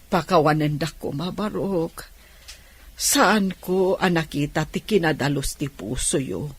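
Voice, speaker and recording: A woman's voice: low voice, a little slow, husky, heavily acted. A woman playing an emotive, grateful older auntie in an Ilocano radio drama, with an Ilocano accent. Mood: remorseful, grateful.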